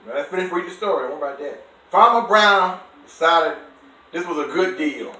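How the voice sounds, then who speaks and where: Bright-voiced man reading aloud, high-pitched, echoey. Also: moderate pace. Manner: expressive, stops to quiz and explain words simply. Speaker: adult reading a bedtime story to a child. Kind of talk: animated read-aloud with explanations for a child. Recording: echoey room, distant microphone.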